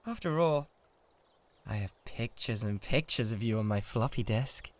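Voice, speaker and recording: A man's voice: mid-range voice, animated, normal speed, no echo, natural voice. A British man playing a huge, lovesick, possessive giant in a comedic ASMR roleplay, theatrical and easily exasperated. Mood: adoring, boastful.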